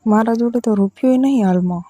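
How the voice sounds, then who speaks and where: A woman's voice: fairly high pitch, quick pace, warm tone, husky, no room sound. A woman with a Gujarati accent playing a demanding village in-law who wheedles insistently in a casual phone conversation.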